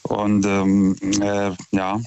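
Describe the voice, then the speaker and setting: A man in his forties, not a native speaker of the language, calling in to a radio show and talking haltingly as he searches for words. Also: fairly low pitch, monotone, darkish tone, smooth, no room sound. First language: not German. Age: 40s. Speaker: caller. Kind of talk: phone-in call. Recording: dull dry phone line.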